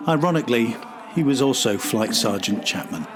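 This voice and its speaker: A man's voice: mid-range voice, lively intonation, normal speed, music in the background. A man reading scripted narration as the host of a history documentary podcast.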